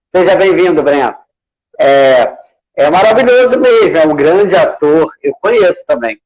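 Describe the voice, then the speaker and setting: A man's voice: a little high, expressive, unhurried, long pauses, a little mellow, poor audio. A man hosting a casual live chat, enthusiastic and full of hesitant restarts. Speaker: host.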